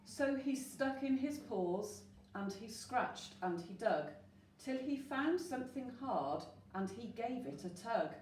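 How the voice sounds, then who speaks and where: A woman reading a rhyming children's story aloud, expressive and putting questions to the listeners. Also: a little high, slow. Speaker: storyteller at a school. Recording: roomy, distant mic with faint noise.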